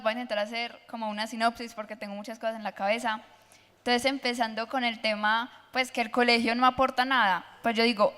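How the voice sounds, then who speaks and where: A woman's voice: a little high, a bit bright. A teenage girl speaking passionately and argumentatively as a panelist at a public forum. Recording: long hall reverb.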